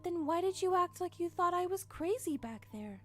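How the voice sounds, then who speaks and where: Sweet young pony princess, tiny high voice, earnest, gentle, music underneath. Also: female voice, expressive, normal speed. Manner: soft-hearted, earnest.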